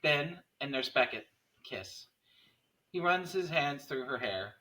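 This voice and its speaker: A man's voice: mid-range voice, expressive, takes it slowly, sharp tone, poor audio. A man reading screenplay stage directions as narrator at a table read.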